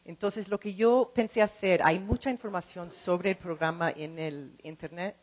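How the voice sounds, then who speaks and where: A middle-aged woman speaking in a second language as she gives an informal talk, halting and repeating words as she searches for the next one. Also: lowish voice, steady tone. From lives in California. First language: not Spanish, speaks it as a second language. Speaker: translator and program co-director.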